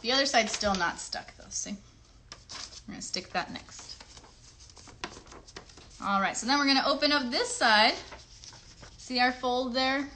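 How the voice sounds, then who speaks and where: Female kids' craft host, forceful bright tone, chatty step-by-step teaching, recorded with faint background noise. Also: high voice, unhurried, long pauses. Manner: chatty, encouraging, often asks the viewers questions; simple child-directed wording. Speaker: children's craft livestream host. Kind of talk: step-by-step craft demonstration for children.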